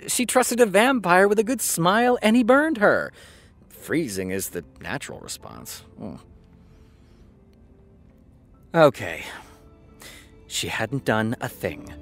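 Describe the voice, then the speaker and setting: Actor as wry moody writer guy, medium pitch, reading drama prose aloud and muttering, bit of music under it. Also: close to an everyday voice. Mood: wry, irritated, then intense, reflective. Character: wry, self-critical man reading fan fiction drafts aloud.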